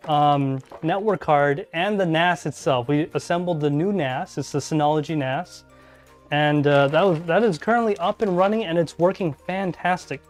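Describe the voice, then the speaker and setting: A man hosting a casual live stream, talking through his network gear and reading chat aloud as he thinks out loud. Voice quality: deep voice. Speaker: podcast host live streaming. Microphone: desk mic, level adjusted mid-stream.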